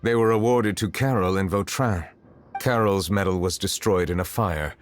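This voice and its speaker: An actor playing a solemn, reserved and dignified man of authority in a video game, speaking formally and gravely. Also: low voice, moderate pace, a little hiss or hum, natural voice. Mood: composed. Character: dignified, solemn, reserved male chief judge. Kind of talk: restrained video game voice acting.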